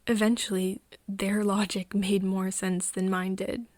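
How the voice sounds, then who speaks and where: A woman acting an earnest, wistful friend in an intimate ASMR roleplay, speaking softly and haltingly, often near a whisper. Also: medium pitch, little pitch movement, no echo, lightly acted. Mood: reflective, resigned. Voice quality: soft, breathy, often whispery. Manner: hesitant, halting, pausing often.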